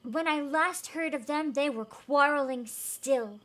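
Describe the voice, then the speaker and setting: A woman's voice: high voice, measured pace, fairly bright tone. A woman reading a fairy tale aloud as host, in an emotive, giggly way with casual reactions.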